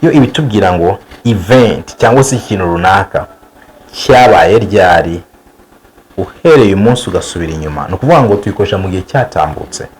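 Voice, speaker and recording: A man teaching English vocabulary in a lesson, saying example sentences slowly and repeating them for learners. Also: sits low, expressive. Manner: slow, drawn-out model sentences repeated for learners. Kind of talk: bilingual language lesson.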